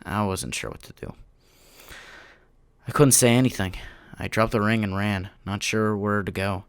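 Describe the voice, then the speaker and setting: A man's voice: lowish voice, steady tone, moderate pace, smooth, no echo, clean studio sound, natural voice. A man playing a bitter, despairing man in his late thirties who narrates his diary in an anguished, self-loathing audio drama style. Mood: defeated.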